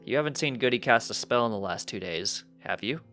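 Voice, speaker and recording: A man voicing a frank pony doctor in a story reading, measured, solemn and plain-spoken. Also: medium pitch, lively intonation, bright, forceful tone, soft background music. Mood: grave. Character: grave, frank pony doctor delivering bad news.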